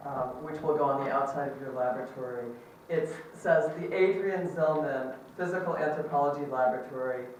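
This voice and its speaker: A woman's voice: rumbling low, darkish tone, distant mic, a little hiss or hum. Enthusiastic woman speaking in an echoey hall. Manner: upbeat, enthusiastic, with frequent ums. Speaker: department chair. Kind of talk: ceremony welcome speech. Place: large echoey lecture hall. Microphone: amplified microphone recorded from a distance.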